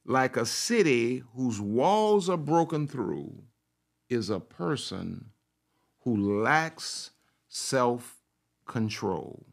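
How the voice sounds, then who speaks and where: A man preaching, slow and deliberate, taking long pauses between his phrases. He repeats lines for emphasis and throws out rhetorical questions. His voice sits in a middle pitch. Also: very animated, gravelly. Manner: speaks in the first person of a confident woman to make his point. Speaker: pastor. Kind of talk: sermon.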